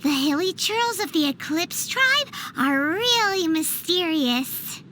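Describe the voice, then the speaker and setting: A woman voicing a tiny, childlike, cheerful and greedy fairy companion in a video game, squeaky and exaggeratedly cutesy. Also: big pitch swings, takes it slowly, bright, forceful tone, a little hiss or hum, heavily acted. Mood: chatty, explaining. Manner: refers to self in third person, exaggerated cutesy delivery.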